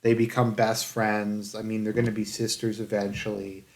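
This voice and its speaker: A man giving casual review commentary in a halting, hedging way, with phrases that trail off. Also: fairly low pitch, flat delivery. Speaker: anime reviewer.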